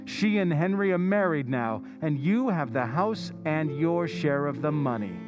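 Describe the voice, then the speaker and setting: A man's voice: a little high, lively intonation, a little slow, over music. An actor playing the Whistler, an all-knowing male narrator who taunts a jealous woman in a radio drama. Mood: taunting. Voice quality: insinuating, taunting.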